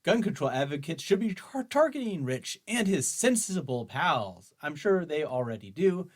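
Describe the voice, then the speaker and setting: Male commentary host, slightly high voice, argumentative and mocking as he reads and reacts. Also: big pitch swings, normal speed. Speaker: host of a commentary show on guns. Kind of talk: opinion commentary, reading an article aloud and reacting.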